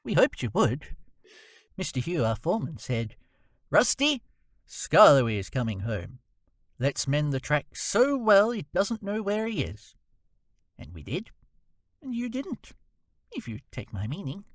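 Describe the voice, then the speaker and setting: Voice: mid-range voice, wildly swooping pitch, a little slow, long pauses. A performer voicing an anxious, hesitant little diesel engine, recorded distantly. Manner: hesitant and worried. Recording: distant, quiet mic.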